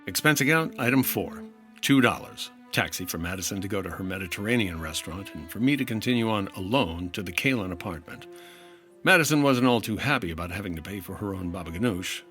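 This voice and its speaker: A man's voice: fairly low pitch, lively intonation, a little fast, a bit bright, faint music behind. An American man playing a wry, exasperated insurance investigator in the style of old-time radio detective narration. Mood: dry narration. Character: hardboiled 1950s male insurance investigator, dry, exasperated.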